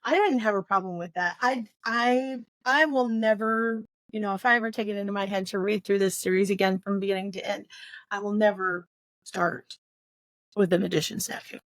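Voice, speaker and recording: A woman's voice: mid-range voice, fairly even intonation, normal speed, fairly bright tone, dry recording. A woman co-hosting a podcast in a casual book discussion, speaking in an opinionated, playfully emphatic way.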